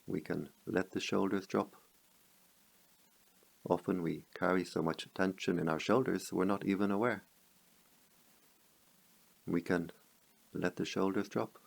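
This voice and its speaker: A man guiding a meditation as a teacher, speaking calmly in measured short phrases with long pauses. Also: deep voice, vocal fry. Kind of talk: guided meditation.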